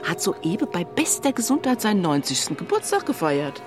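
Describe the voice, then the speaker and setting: A woman's voice: medium pitch, big pitch swings, a little fast, music in the background, natural voice. An actress playing a keen, down-to-earth policewoman in a radio play. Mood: pleased, reporting. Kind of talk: radio drama acting.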